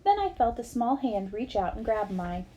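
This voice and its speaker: A woman's voice: a little high, very animated, normal speed, mellow, dull audio. A woman reading a memoir aloud as scripted storybook narration. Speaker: reader of a book aloud.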